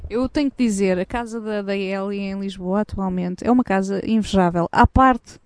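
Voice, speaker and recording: A woman's voice: medium pitch, normal speed, dry recording. A woman hosting a casual, playful chat outdoors by a windmill, teasing her guest as she asks about her life. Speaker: host.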